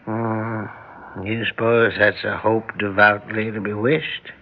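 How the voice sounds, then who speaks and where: An actor playing a lonely, wry man in his seventies, halting and wistful, in an old-time radio drama. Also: on the low side, flat delivery. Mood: weary. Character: weary lonely old male medical researcher, wry and gentle. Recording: muffled vintage radio recording.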